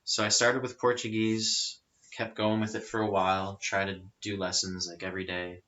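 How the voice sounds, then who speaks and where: Low-voiced man in bright casual chat. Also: normal speed. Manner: relaxed, explanatory, with frequent fillers. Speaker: podcast guest. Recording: rough lo-fi audio.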